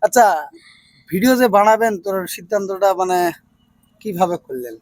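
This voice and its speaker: A man's voice: high voice, lively intonation, moderate pace, no echo. A man with a hosting a casual vlog interview, repeating answers back and giving advice. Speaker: video creator interviewing newcomers.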